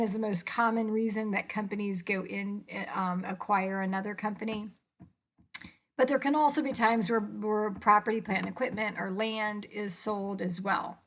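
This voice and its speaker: An American woman giving an informal, explanatory lecture as an instructor, in colloquial language with casual check-ins. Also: medium pitch. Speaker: accounting instructor.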